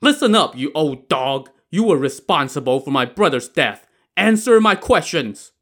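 A man's voice: medium pitch, big pitch swings, a little slow, a bit bright, slightly rough, heavily acted. A man voicing a vengeful, fierce constable in a story, roaring threats and giving stern commands. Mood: furious roaring.

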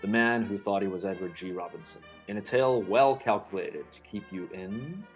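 A man's voice: fairly low pitch, normal speed, faint music behind, close to an everyday voice. A man playing a solemn announcer introducing a suspense play in old-time radio style.

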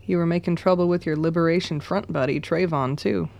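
A woman's voice: on the low side, steady tone, a little fast, gravelly, darkish tone, no room sound. An actress playing a tough, wary young woman in a radio drama, blunt and probing in her speech. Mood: probing, accusing. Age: young adult.

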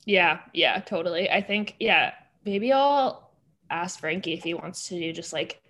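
A woman's voice: fairly low pitch, a little slow, a bit bright. A young woman co-hosting a personal finance podcast, chatting casually with lots of "like" and easy laughter. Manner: chatty, many "like" fillers, laughs easily. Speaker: podcast co-host. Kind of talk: casual chat.

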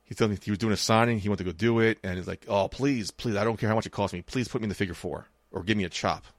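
A man's voice: fairly low pitch, fast, no room sound. A man in his late thirties co-hosting a podcast, telling a story in casual chat, swearing freely and acting out the quoted lines. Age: 30s. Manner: swears freely, tells anecdotes with quoted dialogue. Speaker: podcast co-host.

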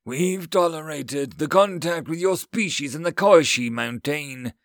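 Man acting out an outraged alien chancellor, pitched high. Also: a little slow, no echo, studio quality. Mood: furious. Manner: indignant, emphatic, demanding. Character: exasperated insectoid alien chancellor, male, clicking and indignant.

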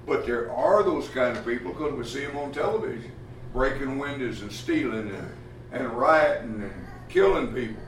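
A man's voice: medium pitch, lively intonation, a little hiss or hum. Folksy preacher man in an echoey church. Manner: plainspoken, folksy, halting with many uh fillers. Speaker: pastor. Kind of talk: sermon. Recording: echoey room from far off, faint ambience.

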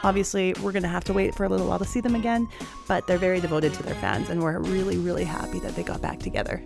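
A woman's voice: on the low side, lively intonation, racing, vocal fry, over music. A woman in her forties hosting a podcast interview, chatty and effusive, laughing often. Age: 40s. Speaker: podcast host. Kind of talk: casual interview chat.

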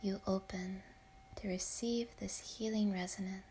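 A woman whispering a guided yoga nidra meditation in a hushed, soothing way. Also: mid-range voice, steady tone, unhurried, slight background noise.

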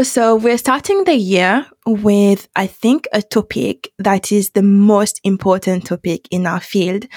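A woman's voice: medium pitch, no echo. The upbeat woman you would hear welcoming guests on a careers podcast, recorded close and dry. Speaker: podcast host. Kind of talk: conversational podcast hosting.

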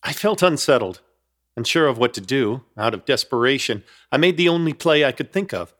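A man's voice: mid-range voice, lively intonation, brisk, a bit bright, natural voice. An actor playing an uneasy apartment hunter who narrates his ordeal in florid, wry scripted storytelling for a horror comedy radio play. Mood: tense, unsettled.

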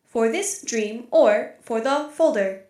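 A woman playing a literal-minded female AI archive computer in an audio drama, speaking in a flat, formal, deliberate way. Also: fairly high pitch, roomy sound. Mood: impassive. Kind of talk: scripted audio drama acting.

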